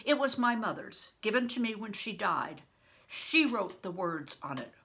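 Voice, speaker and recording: A woman's voice: medium pitch, very animated, moderate pace, vocal fry, bright, forceful tone, a little hiss or hum, voice pushed up, heavily acted. An older woman reading aloud as a defiant young peasant boy, speaking earnestly and firmly.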